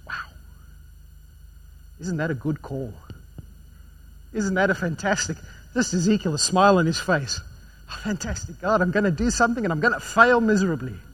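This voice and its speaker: A man preaching a sermon, swinging between loud emphatic passages and quiet, low ones. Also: expressive, moderate pace, pauses often, husky.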